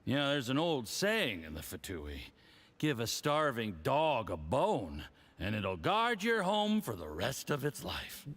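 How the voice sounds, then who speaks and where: Smug diplomat with wildly swinging pitch. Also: male voice, huge pitch swings, a bit bright, heavily acted. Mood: condescending. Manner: theatrical, patronizing, drawn-out delivery. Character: smug, condescending, tipsy Fatui diplomat, adult man. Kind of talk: video game voice acting.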